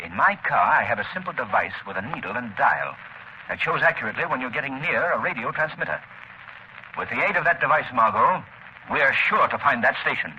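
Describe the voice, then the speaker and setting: An actor playing a confident, commanding gentleman detective in 1930s radio style. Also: medium pitch, animated, brisk. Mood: brisk, decisive. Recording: noisy muffled 1930s radio broadcast.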